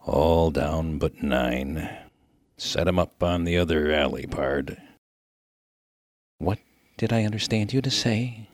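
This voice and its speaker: A man playing a deep-voiced, folksy Old West miner. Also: lively intonation, slow, pauses often, dry recording, studio quality, exaggerated voice. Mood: puzzled. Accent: rustic American. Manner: slangy, folksy, emphatic. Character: rough, good-natured Old West miner full of slang. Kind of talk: dramatic reading of a humorous story.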